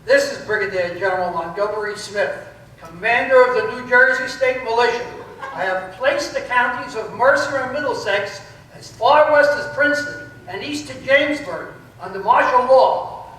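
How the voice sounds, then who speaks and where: Echoey, very high-voiced militia general played by a man. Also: moderate pace, a bit bright. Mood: official gravity. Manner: formal, official. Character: stern, authoritative brigadier general of state militia. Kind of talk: old-time radio play official announcement. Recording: echoey hall from far off, faint noise.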